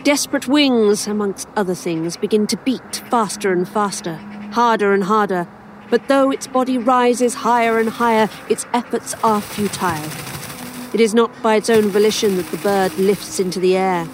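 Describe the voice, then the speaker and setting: A woman's voice: medium pitch, moderate pace, lightly acted. Woman acting wry theatrical lady in an audio drama, mid voice, slight background noise. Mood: ominous narration. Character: wry, menacing injured woman narrating her tale.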